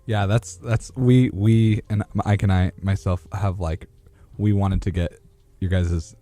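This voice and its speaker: Low, mellow young male podcast host. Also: steady tone, measured pace, faint music behind. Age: young adult. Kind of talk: casual unscripted interviewing.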